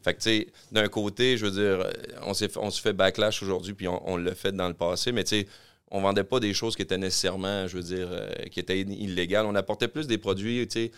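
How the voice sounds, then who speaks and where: A man from Quebec City with a Québécois accent, a podcast guest telling his business story in fast, rambling casual chat. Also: sits low, fairly even intonation, a bit bright, clean tone. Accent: Québécois French. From Quebec City, Canada. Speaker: podcast guest, clothing-store co-founder.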